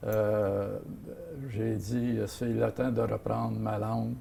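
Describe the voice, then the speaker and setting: An older man from Ottawa with a Franco-Ontarian French accent, speaking as an interview guest and pausing often with fillers and restarts. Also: lowish voice, little pitch movement, vocal fry, mellow, slightly rough. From Ottawa, Canada. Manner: hesitant, many fillers and restarts. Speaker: guest, seniors' association president. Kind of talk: casual interview talk.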